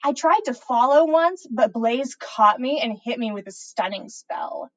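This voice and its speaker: Lady doing a loud boy-crazy teen witch girl, high voice, gushy n braggy, drags out words, storybook style. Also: lively intonation, measured pace, highs cut off. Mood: gossipy. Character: gushing, boastful, boy-crazy teenage witch schoolgirl.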